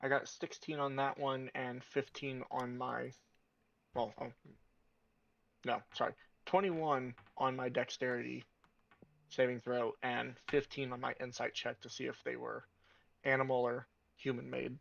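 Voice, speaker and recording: A man's voice: mid-range voice, flat delivery, unhurried, gravelly, fairly bright tone. A man playing a tabletop game, chatting casually over dull lo-fi audio.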